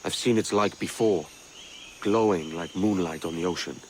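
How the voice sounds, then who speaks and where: An actor playing a brash, sceptical young pirate captain in a video game cutscene. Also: male voice, sits low, normal speed, a little hiss or hum, natural voice. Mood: puzzled, curious.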